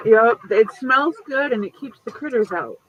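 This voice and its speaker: High-pitched guy almost sixty, swears a lot, chatty, muffled lo-fi audio. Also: moderate pace, crowd noise. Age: 50s. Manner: chatty and profane, lively pitch jumps. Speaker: host. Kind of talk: casual chat. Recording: dull lo-fi stream audio.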